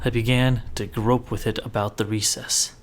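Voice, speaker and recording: A man giving a dramatic, theatrical reading of gothic horror fiction as narrator, with deliberate delivery that can sink toward a hush. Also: mid-range voice, level tone, slight background noise. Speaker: story narrator.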